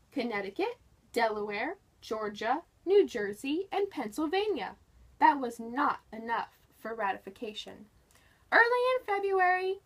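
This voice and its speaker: A woman giving an expressive, emphatic read-aloud of a scripted history book, with deliberate phrasing. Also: high-pitched. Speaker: reader of a nonfiction history book.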